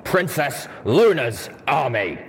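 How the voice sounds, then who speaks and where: A man's voice: medium pitch, lively intonation, moderate pace, a bit bright, slight background noise. A man voicing a stern, suspicious old soldier pony in armor, indignant and commanding, with a reverberant helmet voice, for a fantasy audio story. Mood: outraged.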